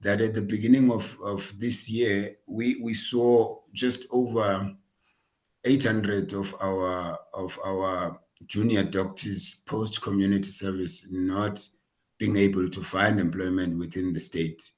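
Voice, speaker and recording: A man's voice: on the low side, steady tone, slow pace. A man introducing the speaker at a webinar, talking with frequent hesitations and restarts. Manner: hesitant, many fillers and restarts. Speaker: association representative introducing the speaker. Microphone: video call.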